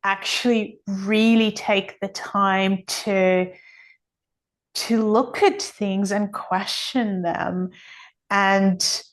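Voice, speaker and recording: A woman's voice: mid-range voice, steady tone, extremely slow. A woman speaking as an interview guest, explaining her views at length in an earnest, emphatic way and repeating phrases for weight. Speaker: podcast guest, author and energy healer.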